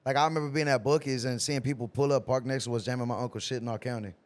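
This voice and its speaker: A man's voice: mid-range voice, steady tone, rapid-fire. A young man from Texas, a rapper guest talking in a laid-back, slangy way. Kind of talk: casual chat.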